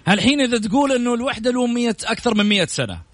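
Very fast-talking Saudi man hosting radio. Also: very high voice, animated, fairly bright tone, no echo. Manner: teasing, probing questioner. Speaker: radio sports talk show host. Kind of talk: live radio chat with callers.

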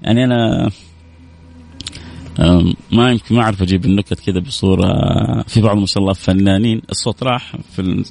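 A man's voice: sits low, steady tone, fast, creaky, pauses often, a little mellow. A man hosting a radio show, chatting casually and joking with his listeners as he signs off. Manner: chatty, joking, laughs often. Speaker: radio host.

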